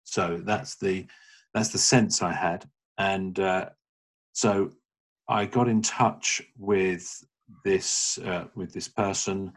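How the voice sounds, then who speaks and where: Low, husky middle-aged man from England. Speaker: guest. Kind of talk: casual conversation.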